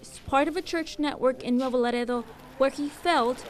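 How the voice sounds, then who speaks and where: A woman's voice: high voice, normal speed. A woman television reporter reading scripted news narration.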